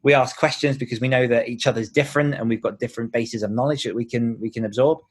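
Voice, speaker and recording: A man talks as an author being interviewed on a podcast. His voice sits at an ordinary middle pitch, and his delivery is casual and conversational. He explains his points in a chatty way, often acting out short examples. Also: steady tone, fast, slightly rough.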